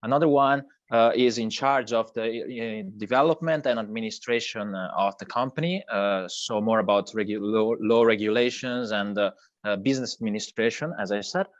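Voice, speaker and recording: A man's voice: on the low side, normal speed, no echo. Hesitant Italian man with muffled sound. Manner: hesitant, many fillers and restarts. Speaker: crypto project co-founder guest. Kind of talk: online video interview.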